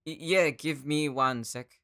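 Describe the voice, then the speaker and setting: A man's voice: a little high, slow pace, fairly bright tone, slight background noise. A man playing an eager, nervous tinkerer who panics easily, in an audio drama. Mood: eager, distracted. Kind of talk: anime audio roleplay acting.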